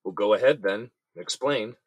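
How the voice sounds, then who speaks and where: A man's voice: on the low side, moderate pace, slightly rough, dry recording. A man playing an indignant, desperate company boss in jail, in an audio drama. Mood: angry, indignant.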